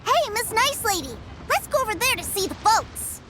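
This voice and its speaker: Innocent, high-voiced little boy. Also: sing-song, normal speed. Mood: eager. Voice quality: childlike. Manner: naive, eager. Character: innocent, curious little boy, adoring younger brother. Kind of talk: animated game voice acting. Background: music.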